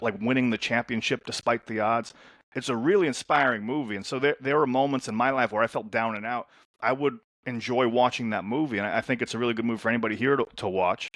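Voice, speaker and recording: A man's voice: mid-range voice, fairly bright tone, no room sound. A man from Lebanon who grew up in the States, a coach talking as a podcast guest in an upbeat, storytelling way that often doubles words as he starts a sentence. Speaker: men's transformation coach, guest. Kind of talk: casual interview talk.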